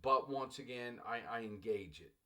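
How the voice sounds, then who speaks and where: Casting: middle-aged male college English teacher from Los Angeles. Medium-pitched, rambling lecture style, keeps saying "class". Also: moderate pace, fairly bright tone. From Los Angeles, United States. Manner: addresses listeners as "class", loose and digressive, repeats phrases.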